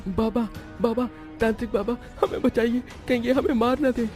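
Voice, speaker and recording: A man voicing a timid, frightened villager in an animated horror tale, anxious and pleading. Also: extremely high pitch, moderate pace, faint music behind. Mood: fearful. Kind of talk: animated horror story dialogue.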